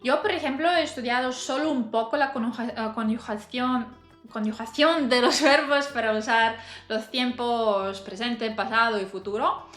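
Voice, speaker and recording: A woman's voice: a little high, moderate pace, fairly bright tone. A woman in her thirties chatting casually to camera as a vlogger, laughing often between hesitant fillers. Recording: roomy echo, faint music behind.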